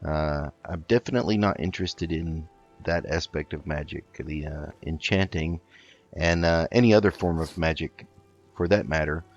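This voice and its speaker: A man hosting a roleplay gaming video, talking in a measured, halting way as he plays and narrates. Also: a bass voice, lo-fi sound, faint music behind. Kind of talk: roleplay let's play commentary.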